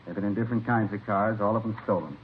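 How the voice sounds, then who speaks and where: An actor playing an authoritative police captain who gives methodical instructions in a vintage radio crime drama. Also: male voice, fairly low pitch, fast talker, lightly acted. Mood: businesslike. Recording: muffled, hissy old radio recording.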